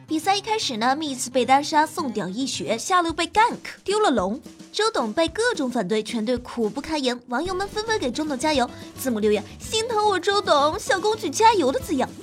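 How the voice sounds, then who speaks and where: A woman's voice: high voice, sing-song, fairly quick, few pauses. A woman host reading scripted gaming narration in a playful, dramatic storytelling manner. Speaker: game show host and streamer. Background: background music.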